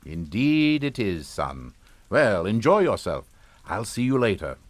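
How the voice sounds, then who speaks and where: A man's voice: on the low side, big pitch swings, measured pace, dry recording. An actor playing a genteel, middle-aged family man in a suspense drama, pompous and formal with a falsely jovial manner. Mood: smoothly genial. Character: genteel English family patriarch, smoothly hypocritical and unflappable. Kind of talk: radio suspense drama acting.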